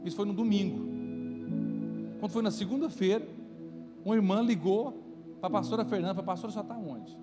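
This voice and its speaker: A man's voice: sits high, fairly quick, long pauses. A man with a Brazilian accent preaching to a congregation as a pastor, in a conversational way that checks in with listeners through rhetorical questions. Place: church, live-streamed service. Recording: reverberant hall, loud music underneath. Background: loud music.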